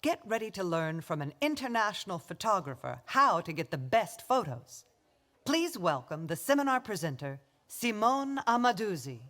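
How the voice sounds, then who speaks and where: A woman announcer delivers a scripted event welcome. Her medium-pitched voice moves through wide, animated swings of intonation. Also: normal speed.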